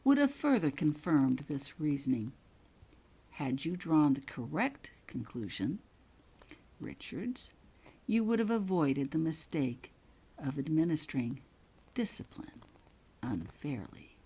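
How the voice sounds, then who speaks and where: A woman voicing a coldly controlled, menacing male headmaster in a story, speaking softly and slowly, near a whisper, with weighted pauses. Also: sits low, big pitch swings, husky, full character voice. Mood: stern, quiet. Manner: slow, deliberate, with weighted pauses.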